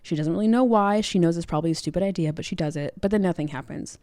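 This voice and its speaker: A woman's voice: lowish voice, fast talker, dark tone, no room sound, clean studio sound. A woman co-hosting a book podcast, recapping a story in a casual, chatty, informal way. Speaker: book club podcast co-host.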